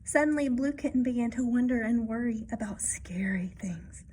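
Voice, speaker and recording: A woman reading a children's picture book aloud expressively, pausing to add explanations and check-in questions for young listeners. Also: on the high side, lively intonation, darkish tone, husky. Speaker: read-aloud storyteller.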